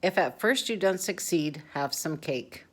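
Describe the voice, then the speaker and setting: A woman voicing a small, cheerful, gentle mole in a storybook, with a simple earnest delivery. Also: lowish voice, expressive, a little slow.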